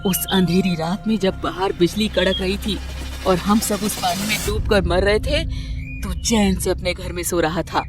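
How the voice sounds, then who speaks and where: A woman acts out a cruel cartoon ghost bird bent on revenge. The voice is dropped lower, menacing and gloating. Loud music runs behind it. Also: steady tone, normal speed, lightly acted. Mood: vengeful, gloating. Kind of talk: cartoon villain acting.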